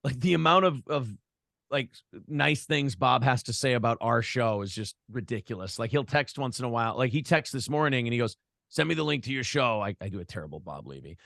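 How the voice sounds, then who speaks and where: A man's voice: mid-range voice, a little fast, vocal fry, no echo, studio quality. A man being interviewed in a casual conversation, telling stories in a joking, profane way. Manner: animated storytelling, profane, joking. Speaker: interview guest, broadcaster.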